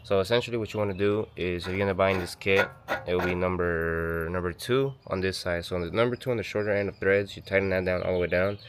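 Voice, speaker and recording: A man with a low, deep voice talks quickly through a car-repair how-to. He is sick and losing his voice, rambling and correcting himself in a loose, slangy way. A faint background noise runs under the recording. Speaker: DIY car channel host. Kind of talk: casual how-to walkthrough. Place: home garage.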